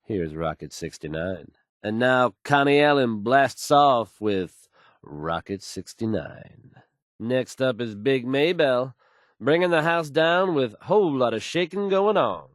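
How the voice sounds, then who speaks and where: An actor playing a confident radio DJ in a game, announcing with polished, assured delivery. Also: male voice, medium pitch, expressive, a little slow, no room sound, clean studio sound, close to an everyday voice. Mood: confident, easygoing. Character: confident, smooth male radio DJ and newsreader. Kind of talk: radio song introductions and news reading.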